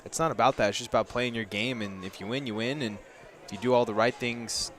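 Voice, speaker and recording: A young man with an American accent provides color commentary on baseball. His pitch is average for a man. He talks informally, explaining plays in a conversational way over a headset mic. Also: fast talker, a bit bright. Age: young adult. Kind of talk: conversational sports analysis.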